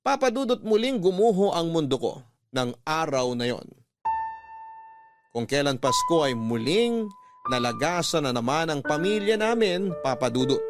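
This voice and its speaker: A Filipino man narrating a first-person letter in a dramatic, sorrowful radio-drama style. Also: a little high. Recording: dry studio voice over music.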